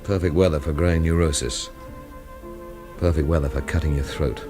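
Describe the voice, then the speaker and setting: An actor playing a weary, sardonic amateur investigator in a radio mystery, narrating and questioning in a dry, wry way. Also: male voice, a bass voice, steady tone, normal speed, over music. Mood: brooding, bleak. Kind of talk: radio mystery drama acting and noir narration.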